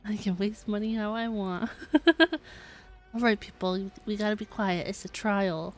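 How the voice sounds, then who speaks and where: A woman's voice: mid-range voice, steady tone, measured pace. A woman streaming a game, chatting casually in a playful, giggly way. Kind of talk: casual livestream chat over gameplay. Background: faint game music.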